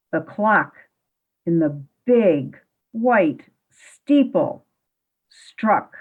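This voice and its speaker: A woman narrates a homemade radio play in slow, deliberate storytelling. Her voice is fairly low and lively in pitch. It comes through thin and phone-like.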